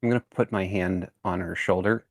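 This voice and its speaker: Deep-voiced, formal male roleplayer. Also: steady tone, fairly quick, creaky, dry recording, clean studio sound. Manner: formal, matter-of-fact. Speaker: tabletop game player. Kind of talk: measured in-character roleplay.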